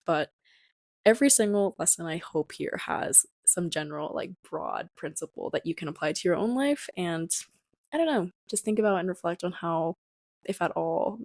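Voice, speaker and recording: A woman's voice: fairly low pitch, creaky, no room sound, clean studio sound. A 21-year-old woman hosting a casual, reflective solo podcast in a chatty, rambling way with lots of filler words. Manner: chatty, rambling, sprinkled with "like" and "you know". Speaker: podcast host.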